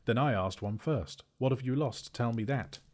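Little storybook hero, man narrator acting: raised pitch, slightly husky, plucky, indignant, pressing his point. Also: moderate pace, close to an everyday voice. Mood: indignant, persistent. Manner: insistent, calling out. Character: plucky, indignant small male adventurer, lost.

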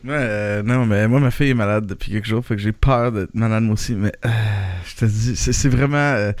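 A man's voice: on the low side, fairly quick, dry recording. A man in his thirties with a Quebec French accent hosting a casual podcast, rambling with restarts and laughs. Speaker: podcast host.

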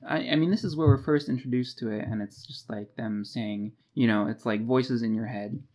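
A man's voice: mid-range voice, normal speed, a little mellow. A man co-hosting a casual book discussion, recapping a story in an informal way with wry, jokey asides. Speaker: podcast co-host.

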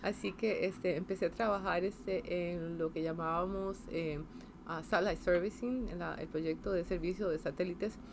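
A woman's voice: on the low side, fairly even intonation, normal speed, creaky, noisy background. Costa Rican woman, hesitant and chatty. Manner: hesitant, many fillers and restarts, tag questions. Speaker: engineer interviewee. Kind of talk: casual interview.

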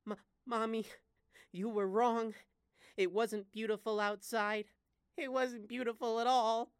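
A woman voicing a traumatized, earnest little candy boy who speaks haltingly and dramatically in an audio drama. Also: a little high, fairly even intonation, clear, resonant tone, no echo, clean studio sound. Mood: shaken, horrified. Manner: halting, dramatic horror-struck telling.

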